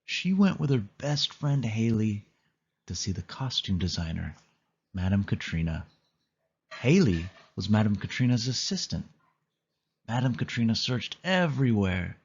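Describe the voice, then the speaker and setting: Low, husky male storybook narrator. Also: big pitch swings, measured pace, highs cut off. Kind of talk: scripted children's read-along narration.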